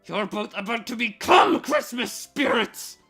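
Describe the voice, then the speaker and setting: A woman's voice: on the high side, animated, unhurried, fairly bright tone, slightly rough, exaggerated voice. A woman playing a furious, menacing young female villain in a dramatized fan fiction reading, with indignant, emphatic outbursts. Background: background music.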